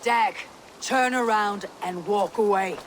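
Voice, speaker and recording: A woman playing a resolute Viking clan leader in a video game, speaking in a grave, commanding, deliberate manner. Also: mid-range voice, slight background noise, natural voice. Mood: stern, restrained. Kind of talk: dramatic video game acting.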